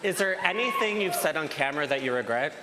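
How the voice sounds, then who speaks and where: A man's voice: on the high side, animated, fast talker, background noise. A man moderating a live panel, casually putting questions to the guests. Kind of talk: casual interviewing. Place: convention panel stage, indoors. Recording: reverberant hall with clear crowd.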